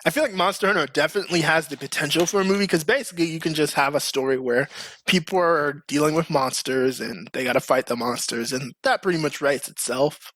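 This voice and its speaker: A man's voice: fairly high pitch, fast talker, a bit bright, dry recording. A man hosting a casual, slangy group chat as a podcast host. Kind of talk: casual group chat about games and movies.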